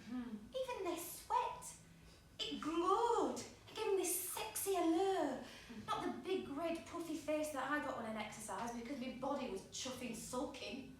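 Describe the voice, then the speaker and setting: Stage monologue: actress plays wounded, sweary young woman, candid and joking, recorded from far off with clear background noise. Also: high voice. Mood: envious, self-mocking. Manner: candid, blunt, swinging between joking and hurt. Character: wounded, sweary, self-deprecating young woman venting about an ex. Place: small pub theatre stage. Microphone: distant camera or audience microphone. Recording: echoey room from far off, noisy.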